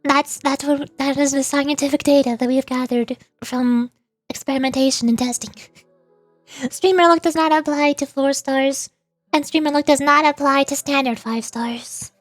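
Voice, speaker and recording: A woman hosting a casual gaming livestream, chatting in an animated, playfully whiny way. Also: high-pitched, normal speed, no room sound, faint music behind. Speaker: VTuber livestream host.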